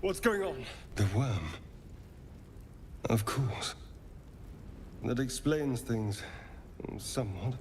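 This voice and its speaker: An actor with an English accent playing a suave, sly, theatrical elf rogue in a video game, speaking in an arch, mocking way. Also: male voice, medium pitch, big pitch swings, slow, long pauses, long reverb tail, a little hiss or hum. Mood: smooth, amused.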